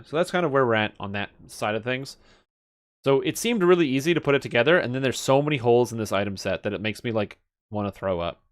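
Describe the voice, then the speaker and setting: Guy streamer, average pitch, talking to himself and chat, mumbly thinking aloud, swears casually. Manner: muttering through item stats, profane. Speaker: game streamer.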